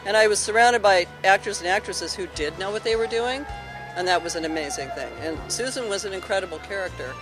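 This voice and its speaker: A woman's voice: medium pitch, animated, a little fast, low-quality recording. Modest woman reminiscing over music. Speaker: former amateur radio drama actress, interview guest. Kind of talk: casual reminiscing.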